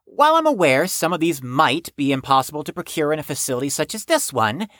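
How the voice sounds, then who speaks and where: A woman's voice: low-pitched, sing-song, fast talker, a bit bright, no echo, studio quality. A woman playing a formal, pedantic, earnest psychiatric patient in an audio drama, with precise, stilted, wordy speech.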